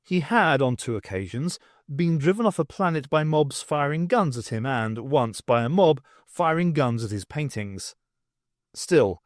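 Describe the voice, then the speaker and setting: A man reading a novel aloud as an expressive audiobook narrator, in an animated storytelling manner. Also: mid-range voice, no room sound, studio quality. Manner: animated storytelling with acted dialogue.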